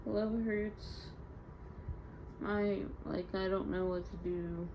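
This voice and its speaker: A woman's voice: mid-range voice, steady tone, slow. A young woman recording a casual, confessional audio diary, rambling candidly in slangy speech and sounding hurt and downcast. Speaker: audio diarist. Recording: muffled lo-fi home recording, faint noise.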